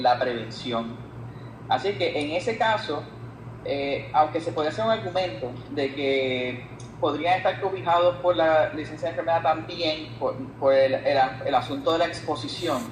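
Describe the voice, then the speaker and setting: A man with a Puerto Rican Spanish accent explaining as an expert guest in an interview, haltingly repeating words as he reasons. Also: fairly high pitch. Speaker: guest expert on labor law. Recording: echoey room with some background noise.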